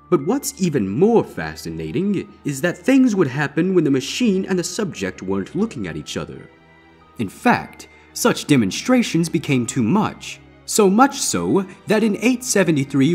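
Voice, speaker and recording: An ominous male stage magician, acted out by a man for a fan fiction story. The voice is husky and higher than average, swinging dramatically in pitch with deliberate, showy patter. Faint music plays underneath. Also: darkish tone, full character voice. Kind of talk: character voice in audiobook-style fan fiction reading.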